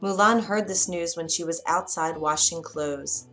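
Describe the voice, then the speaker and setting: A woman narrating a children's bedtime story with a clear, expressive reading. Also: fairly low pitch, unhurried, faint music behind.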